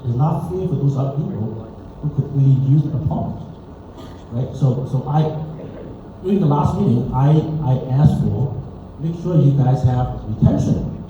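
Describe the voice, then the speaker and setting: A man's voice: medium pitch, expressive, normal speed, a little hiss or hum. A man arguing his points informally at a town hall, pressing them home with rhetorical questions. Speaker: community meeting speaker. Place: indoor public meeting room. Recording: very echoey room, distant muffled mic.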